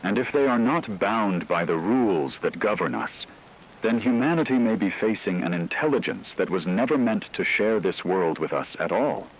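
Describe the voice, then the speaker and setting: Deep-voiced, solemn man acting as radio news anchor. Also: animated, poor audio. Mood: grave, ominous. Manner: solemn, measured, formal. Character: grave male radio news anchor reporting a catastrophe. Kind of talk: scripted breaking news narration.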